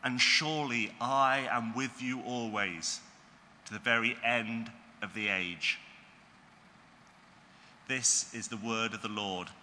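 A man reading Bible passages aloud in a measured, formal way. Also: mid-range voice, sharp tone, long reverb tail, a little hiss or hum. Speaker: scripture reader.

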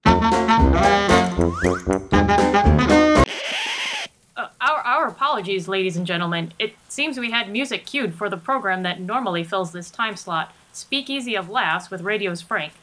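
A woman's voice: medium pitch, lively intonation, fairly quick, close to an everyday voice. A woman playing an earnest, formal news anchor in a 1930s radio drama spoof. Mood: flustered, apologetic.

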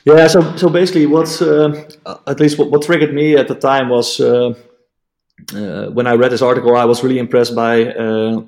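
A man with a Dutch accent, a native Dutch speaker, explaining a technical topic as an interview guest, halting with many fillers and restarts. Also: medium pitch, steady tone, a little mellow. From Netherlands.

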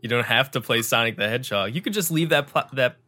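Bright-voiced young man hosting a podcast. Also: mid-range voice, fast. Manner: energetic, emphatic, jokey sarcasm. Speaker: podcast host. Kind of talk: casual podcast chat.

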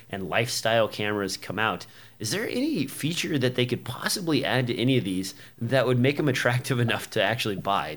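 A man's voice: medium pitch, fairly bright tone. A man hosting a casual tech podcast, talking loosely with lots of fillers. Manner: rambling, many fillers, laughs easily. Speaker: podcast host.

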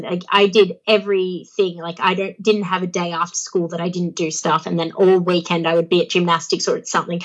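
A woman's voice: fairly low pitch, fairly bright tone, poor audio. A young Australian woman chatting as an interview guest, with a phlegmy throat, laughing often and using many fillers. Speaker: guest, pro wrestler. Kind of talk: casual interview chat.

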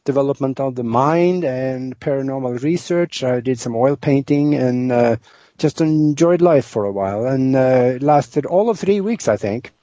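A man's voice: medium pitch, animated, normal speed, mellow, no room sound. An older man from Norway with a Norwegian accent, telling his life story as an interview guest, rambling with many ums and uhs. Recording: dull lo-fi sound.

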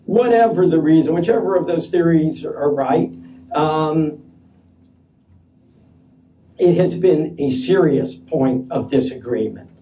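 A man speaking loudly at a conference panel, hesitant and halting with many fillers and restarts. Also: a little high, lively intonation, slight background noise. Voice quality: loud voice. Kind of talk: unscripted conference talk. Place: conference session room. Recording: distant, dull lo-fi conference audio.

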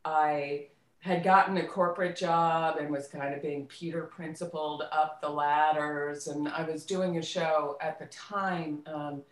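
A woman's voice: sits low, fairly even intonation, unhurried. A middle-aged American woman reminiscing casually as an interview guest, in a reflective, conversational way that searches for details. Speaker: interview guest, theater actress and director. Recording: roomy, echoey voice pickup.

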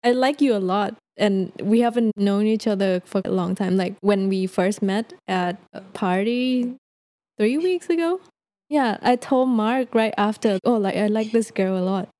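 Woman podcast host, friendly, mellow tone, dry close mic. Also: mid-range voice, measured pace, dry recording. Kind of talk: casual interview chat.

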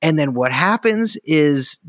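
A man's voice: on the high side, animated, unhurried, a bit bright, dry recording. A man chatting about politics, restarting his sentences and dropping in dry jokes. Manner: hesitant restarts, dry joking asides. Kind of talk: casual political chat.

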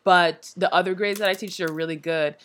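A woman's voice: lowish voice, fairly quick. A young woman who teaches in Korea, chatting casually and acting out her students' and coworkers' lines. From Nigeria and America. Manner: energetic, expressive storyteller, acts out quotes. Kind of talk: casual chat.

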